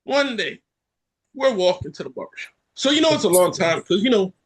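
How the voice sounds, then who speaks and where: A man's voice: high voice, fairly quick, very noisy. A man co-hosting a casual podcast, telling a funny story animatedly and acting out other people's lines. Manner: animated storyteller who acts out other people's lines and laughs mid-sentence. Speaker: podcast co-host.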